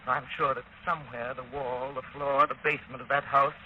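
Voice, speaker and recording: A man's voice: medium pitch, flat delivery, phone-quality sound, slight background noise, lightly acted. An actor playing a confident, earnest, urgent male sleuth in dramatic 1930s radio mystery style, on an old broadcast. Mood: intense, resolute. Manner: earnest, deliberate, dramatic delivery.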